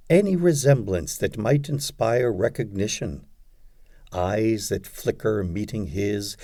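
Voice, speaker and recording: A man narrates a poetry audiobook in a low, mellow voice. His reading is expressive and deliberate, rising and falling widely from phrase to phrase, with pauses between them.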